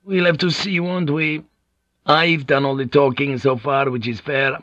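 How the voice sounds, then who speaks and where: A man's voice: a little high, fairly even intonation, unhurried, a bit bright, no room sound, muffled recording, pitched above the performer's own voice. A man voicing a brash, swaggering Portuguese ship's pilot in an audiobook, cocky, bluffing and coarse-mouthed. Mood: worldly, teasing.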